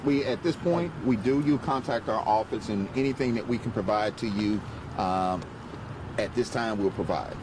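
A man in his forties, an attorney, giving a measured, emphatic statement to reporters outdoors. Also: on the low side. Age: 40s. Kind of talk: press statement. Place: outdoors by a busy road. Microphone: reporters' handheld mics. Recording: loud traffic noise, slightly distant.

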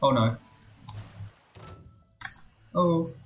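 Echoey, slow-paced playful male game streamer. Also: on the high side, fairly bright tone. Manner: playful, muttering to himself and chat in short exclamations. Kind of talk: casual live gameplay commentary. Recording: roomy echo with game music behind. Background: video game music.

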